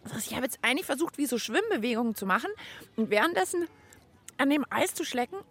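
A woman hosting a children's radio show, chatting in a playful, expressive way. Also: high voice, fast, slightly rough. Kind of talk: lively children's show chat.

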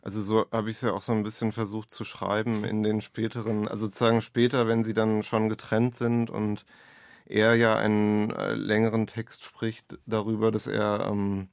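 Low, even-toned man explaining his writing. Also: normal speed, creaky, no room sound, clean studio sound. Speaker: author, interviewee. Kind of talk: reflective interview conversation.

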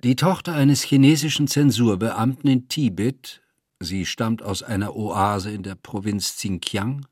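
Husky man narrating, very dry sound. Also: on the low side, a little slow, darkish tone, no echo. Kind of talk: scripted literary narration.

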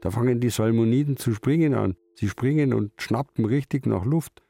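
A man's voice: mid-range voice, moderate pace, vocal fry, mellow, husky, no echo. An actor playing a stubborn, brooding old fisherman, telling his story in a radio drama. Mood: worried, grumbling. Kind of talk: literary radio drama narration in third person.

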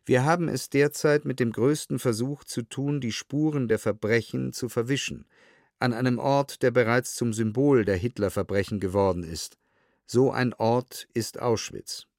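A man reading historical documents aloud in a scripted, measured and precisely articulated documentary style. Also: medium pitch, a little mellow, no echo, clean studio sound.

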